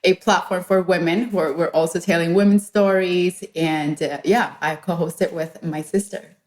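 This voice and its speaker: A woman's voice: lowish voice, fairly even intonation, moderate pace, darkish tone. A woman from Colombia speaking conversationally as a guest in an interview. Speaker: podcast guest, journalist.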